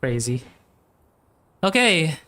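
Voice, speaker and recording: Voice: medium pitch, big pitch swings, slow, pushed and bright. A young adult streamer chatting casually and playfully while gaming, rambling along into a streaming microphone. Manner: rambling, playful, singsong exclamations. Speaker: game streamer. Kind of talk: casual livestream chat while playing.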